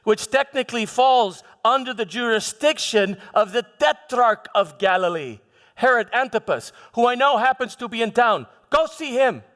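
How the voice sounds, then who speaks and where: A man performing a live theatrical monologue as a proud, troubled Roman governor, halting and repeating his words with defensive frustration. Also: extremely high pitch, animated. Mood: frustrated, defensive. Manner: halting, repeats words, swings from hushed to shouting. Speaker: preacher performing a dramatized monologue. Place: large church hall, live performance. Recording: echoey hall with long reverb.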